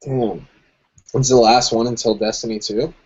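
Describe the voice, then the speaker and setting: A man's voice: mid-range voice, fairly even intonation, moderate pace. A man hosting a casual gaming chat, chatty and easygoing, laughing often between his thoughts. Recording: dull lo-fi stream audio with noise.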